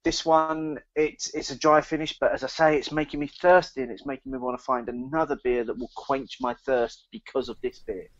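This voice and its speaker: A man co-hosting a podcast in casual chat, speaking haltingly and repeating words as he thinks aloud. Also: mid-range voice, fairly even intonation, highs cut off. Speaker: podcast co-host. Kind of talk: casual chat, beer review.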